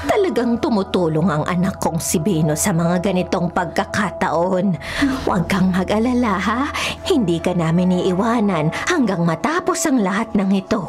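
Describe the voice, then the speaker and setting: A woman acts a caring older Filipina neighbour for a radio drama. Her mid-pitched voice is motherly and reassuring, set over prominent music. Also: lively intonation, normal speed, lightly acted. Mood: tender, reassuring. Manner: soothing, motherly.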